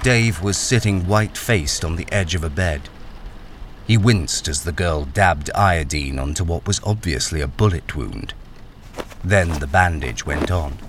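A weary, deep-voiced male drifter. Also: animated, a little slow, slight background noise. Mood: brooding, subdued. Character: weary, wary ex-soldier miner narrating his own story. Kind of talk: radio crime drama, first-person narration and dialogue.

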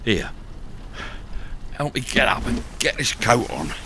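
A gruff male criminal in a radio crime drama, wounded, pained and impatient. His secretive talk swings widely in pitch over faint background noise. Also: very animated, slow. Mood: guarded. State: in pain from a wound.

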